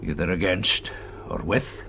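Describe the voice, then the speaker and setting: An actor playing a genial, wry older Scottish gentleman scholar in a radio drama, with measured phrasing. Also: low-pitched, gravelly, a little hiss or hum, full character voice. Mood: wry, confiding.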